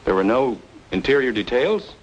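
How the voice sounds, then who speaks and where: A bitter, sardonic architect, swooping pitch. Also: male voice, big pitch swings, normal speed, highs cut off. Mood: indignant. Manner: sarcastic, blunt. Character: bitter, proud male architect, sardonic and quick to anger. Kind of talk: old-time radio film noir acting. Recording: old radio recording with music behind.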